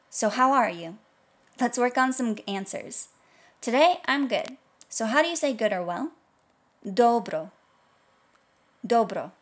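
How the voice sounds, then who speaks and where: A woman with a slightly higher voice hosts a scripted podcast lesson. She explains each phrase in plain terms, then repeats it slowly and carefully for learners. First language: English. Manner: clear, slow repetition of foreign phrases. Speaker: language podcast instructor.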